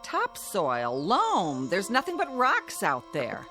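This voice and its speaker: An actress playing a weary, impatient wife in a radio drama, dryly sarcastic and scolding. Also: female voice, mid-range voice, wildly swooping pitch, slow pace, pushed and bright, soft background music, exaggerated voice.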